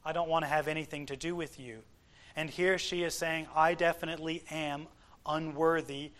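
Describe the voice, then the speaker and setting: A man preaching an expository sermon, explaining scripture in deliberate, emphatic short phrases. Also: fairly high pitch, flat delivery, fairly bright tone.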